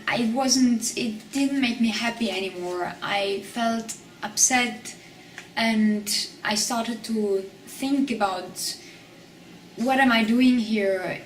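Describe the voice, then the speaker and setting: A woman in her twenties from Switzerland, speaking English with a Swiss German accent as she narrates her own story in a soft-spoken, halting way. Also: on the high side, reverberant, recorded from a distance, slight background noise, low-quality recording. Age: 20s. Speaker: documentary subject. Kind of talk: personal documentary narration.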